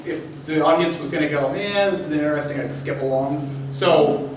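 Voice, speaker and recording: A man presents informally at a tech meetup, casual and a little halting. His voice sits slightly high, and it reaches a distant mic through strong room echo. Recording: echoey room from far off, clear noise.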